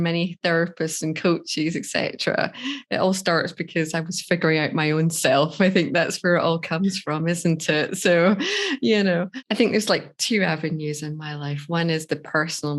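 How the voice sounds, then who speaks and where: Low-voiced Scottish woman telling her story. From Scotland. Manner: reflective, candid, laughs easily. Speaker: life coach guest. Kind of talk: podcast interview, personal story.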